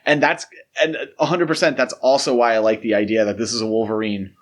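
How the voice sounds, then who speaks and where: A man speaks as a podcast host in an easygoing discussion format, with a middling pitch. He states opinions with emphasis and often stops to qualify what he has just said. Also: steady tone, fairly quick. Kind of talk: casual film discussion.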